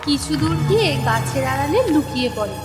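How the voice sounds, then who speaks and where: A woman's voice: high-pitched, expressive, a little fast. A woman narrating a scripted children's story. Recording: echoey reverb, music underneath.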